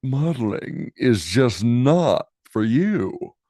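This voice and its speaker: Man acting a slow, dismissive contest judge. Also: mid-range voice, big pitch swings, dark tone, no echo, studio quality, full character voice. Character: dismissive competition judges, bluntly turning hopefuls away.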